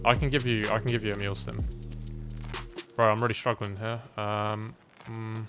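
A man streaming a game, chatting casually with a teammate in an excitable, sweary, exclaiming way. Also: fairly low pitch, moderate pace, over music. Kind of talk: casual gaming commentary with a teammate.

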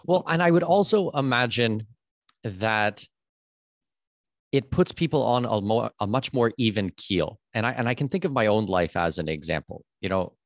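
A man's voice: fairly low pitch, lively intonation, normal speed, no echo. A Canadian man in his thirties hosting an interview on a studio mic, talking in a conversational, thinking-aloud way. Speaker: host. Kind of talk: casual interview. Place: large glass-walled room. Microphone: studio mic on a boom arm.

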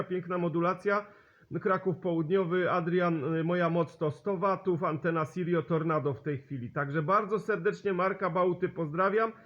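A man's voice: sits high, fairly bright tone, highs cut off. A sociable man hosting amateur radio contacts, chatting and repeating call signs and sign-offs, on a radio recording. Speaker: CB radio operator and channel host. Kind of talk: amateur radio contact chat, exchanging signal reports.

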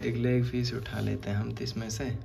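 A man's voice: mid-range voice, little pitch movement, moderate pace, creaky, mellow. A young man, vlogging casually to his viewers from a phone held at arm's length. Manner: chatty, addresses viewers directly. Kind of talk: casual vlog narration. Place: outdoors and in a village shop. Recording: busy crowd noise behind.

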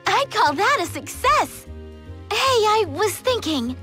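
A very high, lively girl's voice, bubbly and gushing about fashion. She takes her time, breezy as a cartoon game heroine, with music underneath. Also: slow, exaggerated voice. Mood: bubbly, upbeat. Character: bubbly fashion-loving teenage girl. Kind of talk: animated game cutscene acting.

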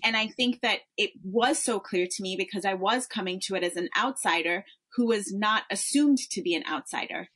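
A woman's voice: medium pitch, normal speed, few pauses, a bit bright. Reflective American-accented woman author. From born in Tanzania, raised in Europe and Africa. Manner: thoughtful, many fillers and restarts. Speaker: memoir author guest. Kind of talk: reflective interview answers.

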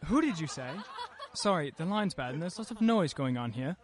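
An actor playing a troubled, irritable well-to-do husband in a radio play, clipped and indignant. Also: male voice, fairly high pitch, lively intonation, a little fast, close to an everyday voice. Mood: irritated.

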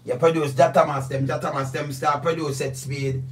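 A Jamaican man interviews in easy Patois, stressing and repeating words in a slightly high voice. He is a little far from the mic, with faint noise behind him. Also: flat delivery, moderate pace. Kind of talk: casual chat in Jamaican Patois. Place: small home music studio with foam-covered walls.

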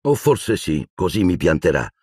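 A man's voice: sits low, sing-song, normal speed, darkish tone, husky, no echo, clean studio sound, exaggerated voice. A man voicing a grumbling, clumsy teenage schoolboy in an audiobook.

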